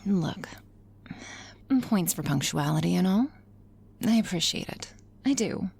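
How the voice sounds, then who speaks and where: A woman acts out a teasing, cynical female boss in an intimate ASMR roleplay. Her voice is fairly low and husky, with a crackle of fry. She speaks playfully and flirtatiously, often dropping to a breathy whisper. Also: expressive, slow pace, pauses often, darkish tone, exaggerated voice. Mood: teasing, caring.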